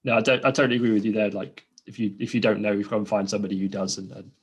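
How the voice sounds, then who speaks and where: A man's voice: fairly low pitch, level tone, very fast, husky. A man, hosting a casual podcast interview and rambling through restarts and fillers. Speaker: podcast host.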